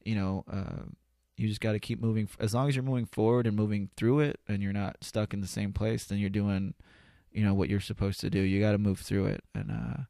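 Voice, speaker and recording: Man, low-pitched, dry close sound, reflective, candid, halting, conversational podcast guest. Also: flat delivery, creaky, dark tone, no room sound. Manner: earnest, reflective, halting with repeated "you know". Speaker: interview guest, spoken-word poet.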